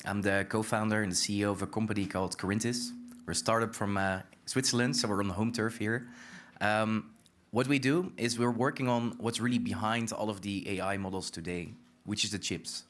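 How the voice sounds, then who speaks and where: Startup founder guy on a panel, slightly low voice, explaining stuff. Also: steady tone, brisk, fairly bright tone. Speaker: startup chief executive on a panel. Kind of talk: explanatory self-introduction.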